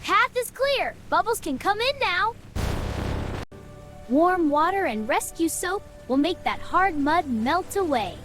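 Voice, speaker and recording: Woman as a very high, upbeat young cartoon rescue leader. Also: lively intonation, takes it slowly, heavily acted. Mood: excited. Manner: upbeat, encouraging. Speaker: cartoon character voice. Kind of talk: children's animated adventure dialogue. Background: loud music.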